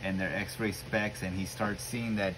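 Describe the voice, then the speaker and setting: A man's voice: low-pitched, steady tone, unhurried. A man co-hosting a comics show, casually talking through what he is looking at. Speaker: co-host of a comics review show. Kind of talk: casual chat, recapping a comic. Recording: noticeable background room noise.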